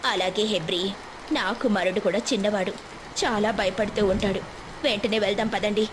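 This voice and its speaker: A woman's voice: mid-range voice, steady tone, moderate pace, background noise, close to an everyday voice. A woman voicing a poor, hardworking village mother, tired and loving, in a moral storybook tale. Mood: worried.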